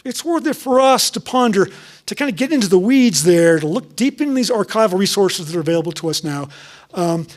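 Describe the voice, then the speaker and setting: High-voiced man giving a halting lecture. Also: lively intonation. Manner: halting, many restarts and ums. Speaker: guest lecturer. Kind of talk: public lecture and Q&A. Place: lecture hall with audience.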